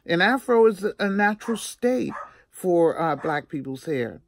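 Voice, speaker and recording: A woman in her sixties telling a personal story in a casual, chatty vlog style, animated and emphatic, often repeating herself for effect. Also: lowish voice, unhurried, a little hiss or hum. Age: 60s.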